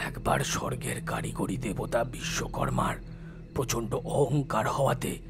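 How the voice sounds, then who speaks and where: A man playing a solemn, compassionate tantric telling a horror audio story in a slow, hushed, deliberate way. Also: mid-range voice, gravelly. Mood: grave, explanatory. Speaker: narrating lead character.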